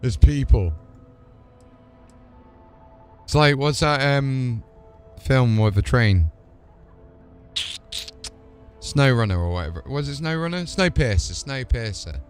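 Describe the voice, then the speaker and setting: A man's voice: medium pitch, animated, moderate pace, soft background music. A man giving casual commentary as he streams a video game.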